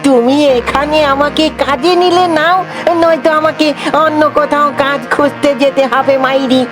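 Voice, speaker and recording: A woman voicing a naive, lazy male fox in a cartoon, chattering excitably and repeating words in a whiny, eager way. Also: sits high, moderate pace, over music, close to an everyday voice. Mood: eager, pushy. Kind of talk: Bangla cartoon voice acting.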